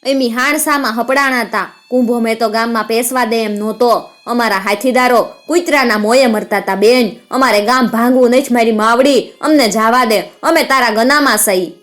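A woman's voice: a little high, normal speed, few pauses, a bit bright, lightly acted. A woman voicing a proud, volatile male outlaw chief in a folk tale, with a Kathiawadi Gujarati accent and blustering manner. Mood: humbled pleading. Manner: blustering, roaring threats.

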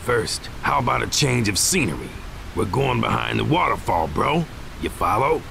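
A man voicing a cocky, playful rapping ninja mentor in an anime video game, gravelly, with slangy, rhyming talk. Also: mid-range voice, very animated, normal speed, a bit bright, slight background noise, heavily acted. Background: faint game music.

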